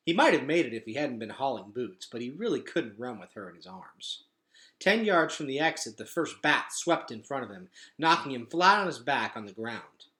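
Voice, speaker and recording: Animated male storyteller in an echoey room. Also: medium pitch. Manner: animated, dramatic. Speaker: read-aloud storyteller. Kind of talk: expressive storybook narration.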